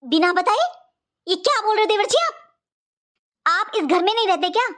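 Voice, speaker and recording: Woman acting very shrill-pitched indignant young daughter-in-law. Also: animated, normal speed, a bit bright. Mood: accusing, scornful. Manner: accusing, dramatic, rhetorical questioning. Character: indignant young daughter-in-law defending her jilted sister. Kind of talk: Hindi cartoon family melodrama voice acting.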